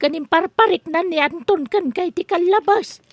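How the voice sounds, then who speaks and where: A woman playing a fond, gentle old grandmother with an affectionate, fussing manner in an Ilocano drama. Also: extremely high pitch, moderate pace, no echo, clean studio sound. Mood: grateful, tender. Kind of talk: Ilocano radio-style drama acting.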